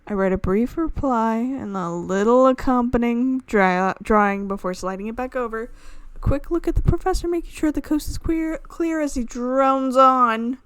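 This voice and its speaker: A woman with a slightly high voice hosts a gaming playthrough, reading a visual novel aloud. She is playful, drops in casual asides and often trips over a word before fixing it. The sound is dry, with very little room. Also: moderate pace, few pauses, clean tone, no echo. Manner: playful, stumbles and corrects words while reading. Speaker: gaming channel host.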